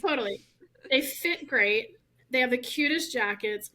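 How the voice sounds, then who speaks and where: A middle-aged woman, a law professor guesting on a podcast, telling animated stories and laughing often. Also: high voice, very noisy. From United States. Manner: animated storyteller, laughs often, fast and chatty. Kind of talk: casual interview chat.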